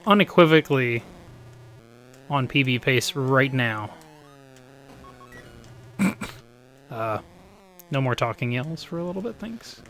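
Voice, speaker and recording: A man of forty, a bit hoarse, chatting upbeat with viewers while he plays a live speedrun from home. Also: mid-range voice, lively intonation, takes it slowly, long pauses, fairly bright tone, slight background noise. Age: 40s. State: slightly hoarse. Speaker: speedrun streamer. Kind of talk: live-stream gameplay commentary.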